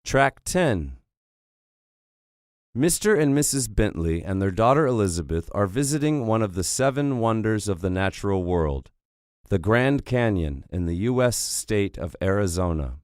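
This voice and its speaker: A man reads English textbook audio, announcing track numbers and reading passages and word lists. His voice is low and swings widely in pitch, and he speaks slowly and clearly. The sound is close and dry. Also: lively intonation, darkish tone, no echo. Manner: slow, clearly articulated announcing. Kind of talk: scripted textbook listening narration.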